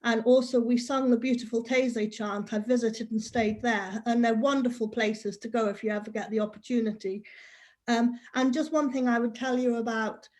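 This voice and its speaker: A Scottish-born woman with an English accent, chatting warmly with many ums on a video call. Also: on the high side, steady tone, normal speed. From Scotland. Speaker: guest. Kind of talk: casual chat.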